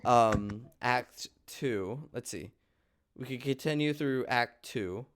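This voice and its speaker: A man's voice: medium pitch, slow pace, a little hiss or hum. A man chatting casually as a participant in a play reading. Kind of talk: casual chat.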